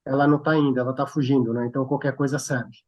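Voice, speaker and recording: A man's voice: medium pitch, level tone, fast, a little mellow. A man interviewing a guest, asking long, rambling questions with restarts and tag questions. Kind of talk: interview conversation.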